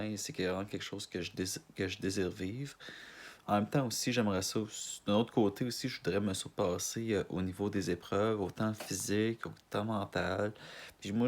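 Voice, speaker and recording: A man in his twenties with a Québécois French accent, introducing himself in a hesitant, rambling way full of fillers and restarts. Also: lowish voice. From Montreal, Canada. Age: 20s. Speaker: casting applicant. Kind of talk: self-introduction video.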